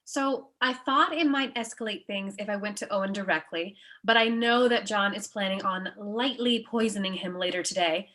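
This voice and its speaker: A woman's voice: mid-range voice, normal speed, hardly any pauses, sharp tone, lightly acted. A woman playing a sensible, disapproving young girlfriend in a comedy script table read, earnest and reproachful.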